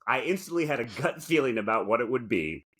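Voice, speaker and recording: A man's voice: medium pitch, lively intonation, fairly quick, fairly bright tone, slightly rough. A man co-hosting a talk show with casual comedic banter and theatrical, mock-indignant asides. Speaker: podcast co-host. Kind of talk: casual comedic banter, reading aloud from articles.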